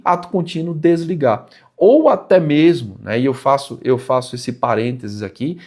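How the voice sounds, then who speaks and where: A Brazilian man with a mid-pitched voice talks directly to his viewers. He explains and advises in a didactic vlog style, leaning on key words and restating them for emphasis. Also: darkish tone. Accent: Brazilian Portuguese. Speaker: motorcycle vlog host. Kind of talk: explanatory advice talk to viewers.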